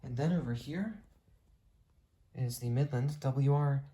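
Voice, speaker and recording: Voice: medium pitch, a little slow, dark tone. A hobbyist narrating a casual show-and-tell video, explaining things in a detailed, matter-of-fact way.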